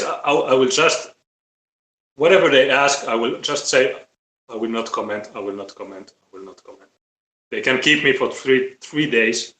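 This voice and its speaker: A man with a Finnish accent talking casually and bluntly on a live stream, halting and repeating his words. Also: mid-range voice, bright, forceful tone. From Finland. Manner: halting, repetitive, blunt with swearing. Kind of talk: casual opinionated live talk. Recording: roomy, echoey live-stream audio.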